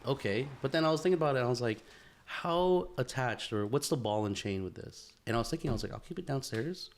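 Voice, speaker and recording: A man's voice: mid-range voice, lively intonation, quick pace. A young man co-hosting a podcast, chatting casually and telling a story with lots of fillers and laughs. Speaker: podcast co-host. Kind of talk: casual chat.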